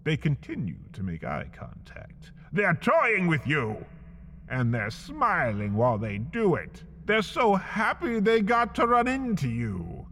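A man narrating in a dramatic, spooky storyteller manner, a deadpan horror parody. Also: measured pace. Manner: dramatic, spooky storyteller delivery with swooping pitch. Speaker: narrator and host.

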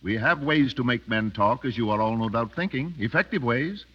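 An actor playing a suave, cruel, Oxford-educated mountain khan with urbane, mock-courteous menace in a 1930s radio drama. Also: male voice, mid-range voice, animated, moderate pace, slightly rough. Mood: coolly menacing. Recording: dull 1930s radio broadcast.

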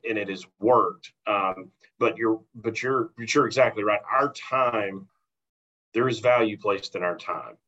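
A man's voice: fairly low pitch, a bit bright. A man with an American accent talking in an agreeable, explanatory way in a professional conversation. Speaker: college athletics sponsorship professional. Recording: dull, lo-fi audio.

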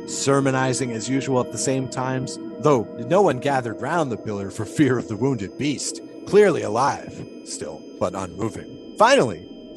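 A man's voice: medium pitch, lightly acted. A man playing an eccentric, devout 1920s pole-sitter in an audio drama, musing in folksy, old-fashioned storytelling phrases. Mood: solemn storytelling.

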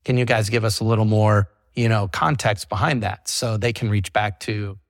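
A man's voice: fairly low pitch, fairly even intonation, moderate pace, dry recording, clean studio sound. A middle-aged man, a company CEO, talking through his business in a casual interview. He is chatty, leans on "you know" fillers, and acts out what his clients say. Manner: quotes clients in an acted voice.

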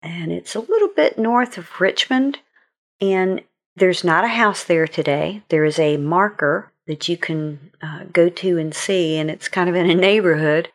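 A woman's voice: on the low side, measured pace. A woman presenting an informal educational history segment for children as an author.

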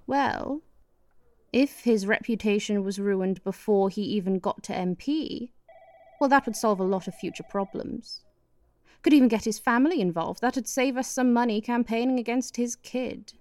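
Woman playing high-voiced, scheming British political spouse. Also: moderate pace, darkish tone, dry recording, close to an everyday voice. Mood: cool, scheming. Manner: sarcastic, cutting, coaxing. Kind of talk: satirical radio play acting.

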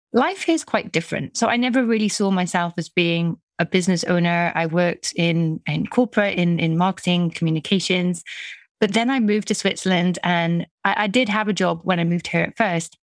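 Middle-aged british woman chatting about her work, lowish voice, dry close sound, keeps restarting sentences. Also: normal speed, no echo. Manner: chatty, frequent restarts and small laughs. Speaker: business coach guest. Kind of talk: conversational interview.

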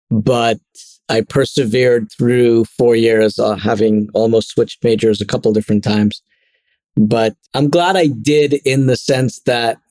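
A man from Trinidad and Tobago, a podcast guest, speaking in a measured, thoughtful and self-deprecating way. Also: on the low side, warm tone, no echo. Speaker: guest, product executive. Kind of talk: casual interview chat.

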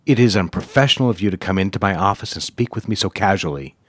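Stern office boss, low voice, curt, condescending, formal, dry close sound, audio drama. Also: male voice, lively intonation, fast talker, fairly bright tone, dry recording. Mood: cold, scornful. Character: cold, stern advertising executive, cruel boss.

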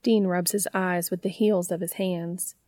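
A woman's voice: on the low side, steady tone, unhurried, warm tone. A woman narrating a piece of written fiction in the style of an audiobook.

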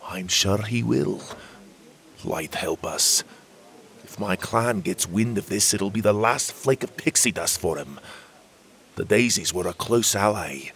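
A man's voice: on the low side, animated, a little slow, vocal fry, fairly bright tone, exaggerated voice. A man voicing a grumpy, chubby male butterfairy lord who scolds in old-fashioned words. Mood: worried. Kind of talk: audiobook character voice.

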